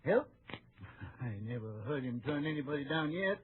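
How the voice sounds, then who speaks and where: A genial older western father acted by a man, a little high-voiced and folksy. He talks in an old radio play. The recording is phone-like with faint noise. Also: animated, moderate pace, natural voice. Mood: genial, earnest.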